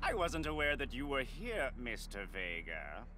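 A man's voice: medium pitch, huge pitch swings, a little slow, very noisy. An actor playing a boastful, touchy evil henchman in a video game, sneering and theatrically mock-polite. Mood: smug. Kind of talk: video game cutscene acting. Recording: loud game music behind.

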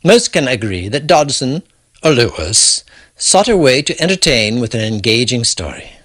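A man with a husky voice giving scripted storytelling narration to introduce a classic tale, theatrical with deliberate pauses. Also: mid-range voice, very animated, fairly bright tone. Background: faint music.

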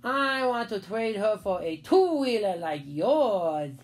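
A woman voicing a mischievous small boy who sweet-talks his mother in a children's storybook, with drawn-out childish speech. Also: medium pitch, animated. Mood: playful.

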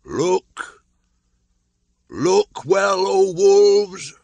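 A man's voice: high-pitched, sing-song, drawn-out words, vocal fry, a little mellow, no echo, voice pushed up, heavily acted. A man voicing a bold, defiant boy raised by wolves in an audiobook, with a commanding, scornful way of calling out. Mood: triumphant calling.

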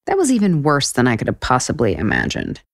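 A woman's voice: extremely low pitch, huge pitch swings, quick pace, gravelly, heavily acted. A woman playing a sarcastic, exasperated author in a romantic comedy audio drama. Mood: weary, incredulous. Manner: incredulous, sardonic.

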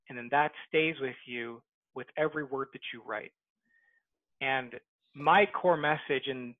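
A man with a fairly high voice answers interview questions over a headset on a video call. The audio is thin and phone-like, dry with no room sound. He argues persuasively and keeps repeating his central point. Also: no room sound. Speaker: guest, book author.

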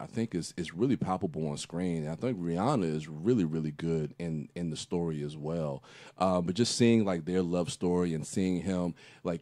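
A man's voice: sits low, warm tone, no room sound. A man on a podcast giving a rambling, casual film review full of fillers and restarts, with a slight cough as he gets over a cold. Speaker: podcast co-host.

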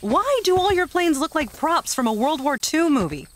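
A woman's voice: high-pitched, very animated, moderate pace, noisy background. A woman playing a skeptical young journalist who explains and argues with exasperation, in a fan audio drama. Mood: exasperated.

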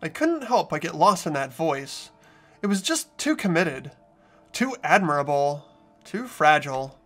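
A man playing an earnest, anxious teenage schoolboy in an anime game fan dub, with an expressive, worried delivery. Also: a little high, measured pace, faint music behind, exaggerated voice. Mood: reflective. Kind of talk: visual novel fan dub voice acting.

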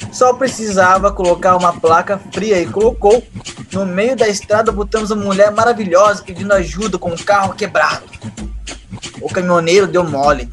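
A man's voice: high-pitched, fairly bright tone. A man playing a brash, cocky young schemer in an audio drama, blunt and commanding.

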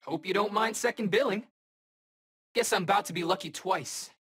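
A man's voice: high-pitched, moderate pace, fairly bright tone, husky. A man voicing a cocky, wisecracking eighteen-year-old superhero in a video game, talking in slangy battle quips. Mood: cocky, teasing. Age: young adult.

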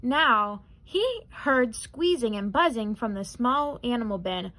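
A young adult woman reading a children's picture book aloud in an expressive, careful way. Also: on the high side, slow. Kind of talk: children's storybook read-aloud.

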